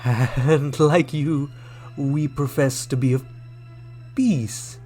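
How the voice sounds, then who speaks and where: Alien ambassador played by a man, very unhurried, formal and theatrical, coolly menacing, faint music underneath, radio drama. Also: medium pitch, fairly even intonation, mellow. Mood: proud, menacing. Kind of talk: sci-fi radio drama acting.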